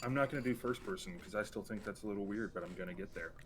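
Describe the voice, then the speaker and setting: A man's voice: lowish voice, racing, background noise. A man playing in a tabletop game over a voice call, chatty and self-deprecating. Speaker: player. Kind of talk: casual tabletop game session.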